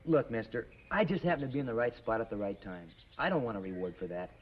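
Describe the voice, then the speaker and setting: Earnest, fast-talking, lively young fellow. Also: male voice, on the low side. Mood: modest, friendly. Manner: plain-spoken, polite, earnest. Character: earnest, modest, polite young nephew, brave youth. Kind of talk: 1950s television western acting. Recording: muffled, noisy old TV western soundtrack.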